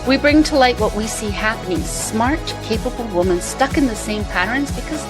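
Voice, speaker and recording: A woman co-hosting a podcast, reading a lively intro and chatting. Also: a little high, moderate pace, over music. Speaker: podcast co-host. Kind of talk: scripted intro and casual chat.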